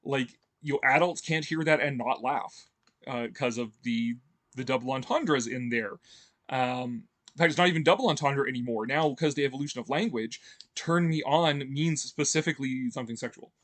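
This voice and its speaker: Male video host, mid pitch, loose unscripted delivery full of fillers and restarts. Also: moderate pace, a bit bright. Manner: rambling, heavy on fillers and restarts; chuckles often. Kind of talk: casual enthusiastic commentary.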